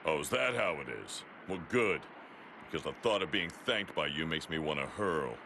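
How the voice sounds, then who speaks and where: An actor playing a stern alien warrior mentor in an anime video game, firm and dryly sardonic. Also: male voice, extremely low pitch, expressive, normal speed. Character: stern, dry, deep-voiced male alien warrior mentor. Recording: noisy outdoor-like ambience behind.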